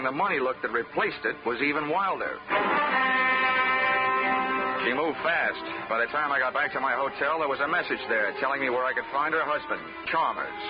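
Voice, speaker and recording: An American actor playing a wry, hard-boiled investigator in a 1940s radio drama, full of dry wisecracks. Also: male voice, medium pitch, lightly acted. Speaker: detective narrator and lead character. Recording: dull 1940s radio recording with music.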